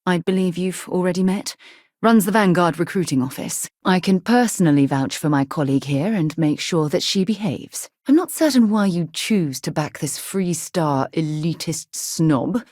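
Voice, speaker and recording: An actress playing a composed, principled space explorer in a video game, with an articulate, dryly wry way of speaking. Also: female voice, fairly low pitch, normal speed, a little mellow, no room sound, studio quality, lightly acted. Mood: composed, matter-of-fact. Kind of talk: video game character dialogue.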